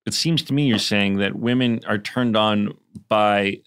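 A man's voice: on the low side, measured pace, fairly bright tone, clean tone. A man hosting a podcast, interviewing guests in a casual, rambling way with joking asides.

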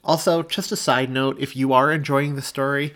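Man, mid-pitched, chatty podcast host, promotional outro style. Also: steady tone, moderate pace, a bit bright. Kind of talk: podcast outro addressed to listeners.

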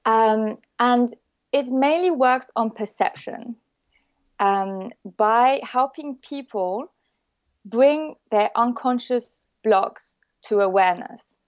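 A woman's voice: a little high, takes it slowly, no echo. French-accented woman, dry dull sound. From born in the UK, raised in the south of France. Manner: warm, laughs often, hesitant fillers. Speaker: guest, bio-neuroemotion practitioner. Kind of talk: casual interview chat.

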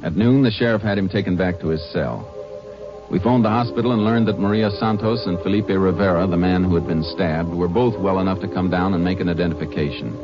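Steady commanding lawman, deep voice, muffled old radio broadcast, music underneath. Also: brisk. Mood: calm. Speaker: narrator and lead Texas Ranger. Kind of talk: 1950s radio drama narration and acting.

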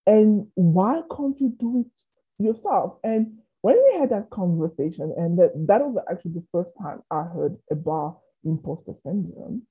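A woman's voice: on the low side, expressive, normal speed, breathy edge. A woman from South Africa, a business coach, answering interview questions in an earnest and open way. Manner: earnest, openly sharing. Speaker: business and marketing coach, guest. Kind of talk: conversational interview. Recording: tinny phone-like line.

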